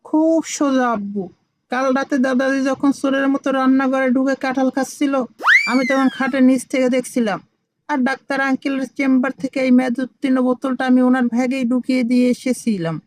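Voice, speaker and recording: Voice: high-pitched, fairly even intonation, fairly quick, no room sound, natural voice. An actor voicing a cheeky, confident five-year-old boy who plays doctor in a comic village cartoon. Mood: cocky. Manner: confident, know-it-all.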